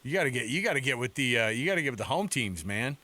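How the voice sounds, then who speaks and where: A man's voice: mid-range voice, sing-song, fast, pushed and bright. A man hosting a sports talk radio show, chatting informally in a casual, bantering way from a studio. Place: radio studio in Salt Lake City. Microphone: close studio microphone.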